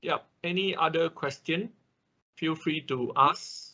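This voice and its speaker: A man's voice: fairly high pitch, slow, bright, forceful tone, lo-fi sound, a little hiss or hum. A man working as an instructor in an online class, answering questions in a hesitant way, often checking with short confirmations. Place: online class over a computer call.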